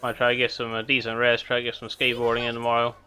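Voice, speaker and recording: A laid-back man chats to his viewers as he plays through a game. His voice is bright and forward. Faint game music runs behind him. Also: mid-range voice, completely flat delivery, rapid-fire, clean tone. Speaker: streamer. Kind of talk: casual gameplay streaming.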